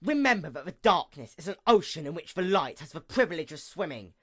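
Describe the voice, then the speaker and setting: Performer as female pony night goddess, villain monologue: bitter, imperious, formal, hugely varied intonation, mid pitch. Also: big pitch swings, fairly quick, close to an everyday voice. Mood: scornful, brooding. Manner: grandiose, formal, swinging from outbursts to hushed menace. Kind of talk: dramatic reading, theatrical villain monologue.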